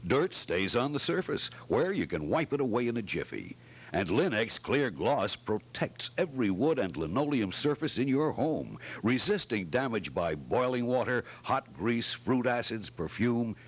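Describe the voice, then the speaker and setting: A man's voice: medium pitch, breathy edge. A man announcing in scripted old-time radio style, dramatic and emphatic, like a sponsor's salesman.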